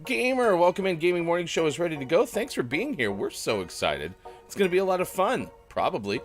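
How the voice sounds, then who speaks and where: A man hosting a live morning show in easygoing, self-mocking banter, his voice a bit rough today. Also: fairly high pitch, very animated, brisk, soft background music. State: slightly rough, gruff voice. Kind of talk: casual live-stream morning show chat.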